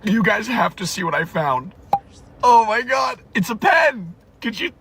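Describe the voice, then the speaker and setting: A woman's voice: a little high, very animated, unhurried, heavy background noise. A woman chatting casually and comically in a gushing, exclamatory way.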